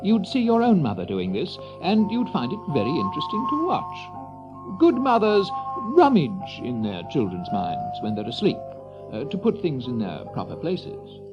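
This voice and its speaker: A man narrating a children's story in a theatrical, deliberate and expressive way. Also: fairly high pitch, lo-fi sound, music in the background.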